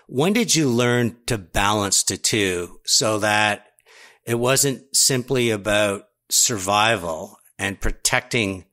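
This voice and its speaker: A man's voice: on the low side, pushed and bright. A man hosting a podcast, asking thoughtful, probing interview questions. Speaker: podcast host.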